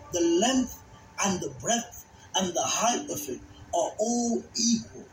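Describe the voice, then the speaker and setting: Slow, solemn preacher in echoing sound. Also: mid-range voice. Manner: solemn, emphatic, deliberate phrasing. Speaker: Bible teacher and narrator. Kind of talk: dramatized scripture reading and sermon. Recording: echoey voice over background music.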